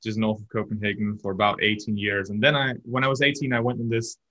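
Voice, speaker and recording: A man's voice: lowish voice, flat delivery, fast, sharp tone, dull audio. A man from Denmark, a company founder, giving earnest, explanatory answers as a podcast guest. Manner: earnest, explanatory, some restarts.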